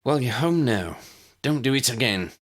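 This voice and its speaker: Man voicing a fiery young woman, pitched up. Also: lively intonation, moderate pace, close to an everyday voice. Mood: firm. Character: fiery, affectionate young woman, anxious but bossy. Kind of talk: audiobook character dialogue.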